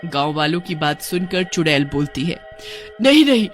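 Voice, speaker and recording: A woman's voice: low-pitched, steady tone, moderate pace, fairly bright tone, soft background music. A woman narrating a scripted story in a dramatic storytelling manner.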